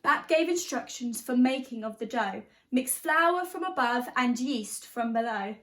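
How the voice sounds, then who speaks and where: High voiced teacher lady talking to little kids, chatty and encouraging, really expressive like storytime. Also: a little slow. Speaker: teacher reading to young children. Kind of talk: animated storybook read-aloud and guided exercise.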